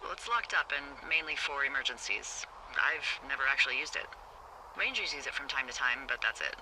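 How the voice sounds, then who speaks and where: An actress voicing a wry, teasing American fire lookout supervisor in a video game, with a dry, playful and sarcastic manner. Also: female voice, rumbling low, expressive, fairly quick, background noise. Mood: dry, practical. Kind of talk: naturalistic video game dialogue. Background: background music.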